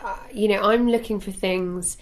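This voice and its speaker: A woman's voice: medium pitch, steady tone, normal speed. A woman in her thirties, chatting candidly and laughing easily in an interview at a recording studio. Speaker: actress interviewed. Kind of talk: casual interview chat.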